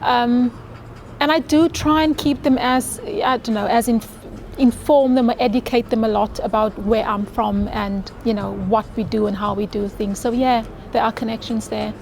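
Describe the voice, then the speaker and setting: A woman's voice: fairly high pitch, steady tone, moderate pace, a little mellow, a little hiss or hum. A woman from South Africa, Afrikaans her first language, with a South African accent, chatting in an interview and laughing easily. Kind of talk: recorded interview answers.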